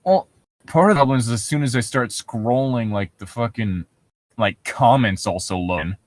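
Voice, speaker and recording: A man's voice: medium pitch, normal speed. A man co-hosting a casual reading of internet horror stories, joking and swearing as he goes. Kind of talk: casual banter and reading aloud.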